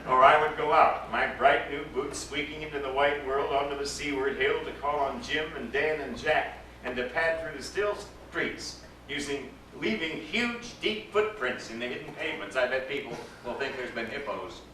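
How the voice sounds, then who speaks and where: A man giving a dramatic live reading of a story, expressive and theatrical, lingering over his words. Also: fairly high pitch, moderate pace, few pauses. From United States. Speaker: actor reading a story aloud. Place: event at a ranch, roomy space. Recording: echoey distant live recording with background noise.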